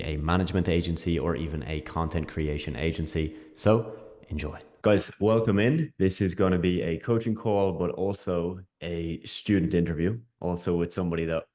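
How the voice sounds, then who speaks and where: A man's voice: low voice, darkish tone. A man hosting a casual interview over a video call, upbeat and friendly, often calling his guest "man". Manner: upbeat, quick, says "man" a lot. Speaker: host and coach.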